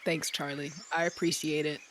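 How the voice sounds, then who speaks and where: A woman playing a sharp, decisive, easily exasperated wife in an audio fantasy drama, plain-spoken with a sarcastic edge. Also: deep voice, level tone, normal speed, slight background noise. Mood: polite, subdued.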